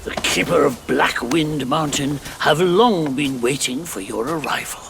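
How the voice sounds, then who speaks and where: Creaky, quirky old guardian spirit. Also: male voice, a little high, unhurried, background noise. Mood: reverent, wistful. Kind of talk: theatrical game character acting.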